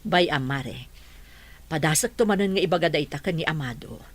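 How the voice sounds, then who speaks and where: A woman's voice: low voice, animated, moderate pace. A woman playing an anxious, caring middle-aged mother in an audio drama.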